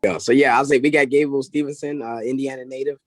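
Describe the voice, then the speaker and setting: A man's voice: mid-range voice, steady tone, fast, dry recording. A young man from Illinois, a wrestling head coach, chatting easily as a guest on a video call interview. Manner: easygoing, repeats "I'll say" and "oh, yeah". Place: small indoor room. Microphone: video call webcam.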